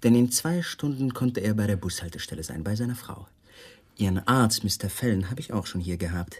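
An actor playing a wily, patronising police lieutenant in a radio crime drama, probing with false friendliness. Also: male voice, on the low side, animated, a little fast, slightly rough. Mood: patronising, pressing.

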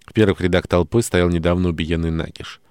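Male, low pitch, audiobook narrator, scripted first-person storytelling, dry studio-like sound. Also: level tone, fairly quick, darkish tone, clean tone, dry recording.

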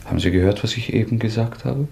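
A haunted, lonely fugitive with a guilty secret in a radio drama. His low voice is erratic and needling, moving between halting muttering and agitation, over faint noise. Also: gravelly, darkish tone. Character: nervous, haunted young fugitive murderer, taunting and lonely. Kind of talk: German radio play acting.

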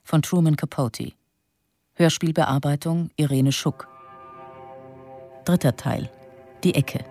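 A man's voice: a little high, slow pace, gravelly, a little mellow, soft background music. A man making a scripted title announcement for a radio drama.

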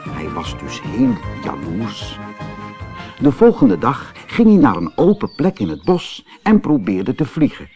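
A man reading a children's fairy tale expressively and without hurry, as a storyteller. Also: medium pitch. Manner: expressive, unhurried read-aloud.

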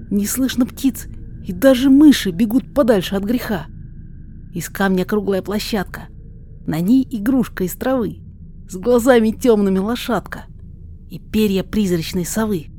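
A woman playing a humble male mountain guide and herb gatherer in a verse drama, telling things in a measured storyteller's way. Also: mid-range voice, warm tone, slight background noise, natural voice. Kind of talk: rhymed verse drama reading.

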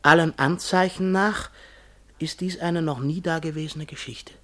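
An actor playing a timid, bewildered henpecked barber in a German radio play, musing in a ponderous, muddled way. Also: male voice, a little high, fairly even intonation, a little slow, fairly bright tone. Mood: baffled musing.

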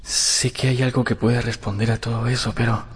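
An actor playing a vain, passionate and troubled young gentleman in a radio drama, with intense, theatrical, at times breathy delivery. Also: mid-range voice, flat delivery, moderate pace. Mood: troubled wonder. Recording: music behind the voice.

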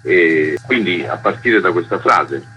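A man's voice: deep voice, expressive, a little slow, pushed and bright, dull audio, faint music behind. A man being interviewed as a festival's artistic director, explaining in a conversational way.

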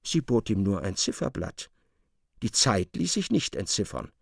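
A man's voice: on the low side, huge pitch swings, measured pace, gravelly, husky, dry recording, clean studio sound. A man narrating a literary radio drama in a scripted, storytelling style. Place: dry studio.

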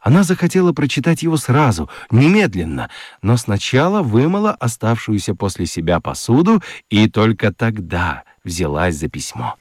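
A man's voice: fairly low pitch, big pitch swings, normal speed, husky, no echo. A man narrating a children's radio drama as a scripted storyteller.

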